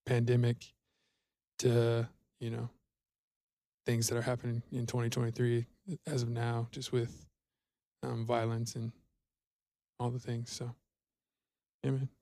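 A young man co-hosting a podcast, speaking in a halting, earnest way full of fillers. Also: mid-range voice, completely flat delivery, mellow, no room sound. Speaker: podcast co-host. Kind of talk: casual chat.

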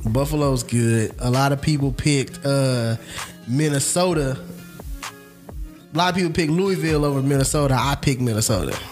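A man's voice: mid-range voice, normal speed, darkish tone, soft background music. A young man co-hosting a casual sports chat show, talking in a laid-back, slangy, rambling way. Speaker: podcast co-host.

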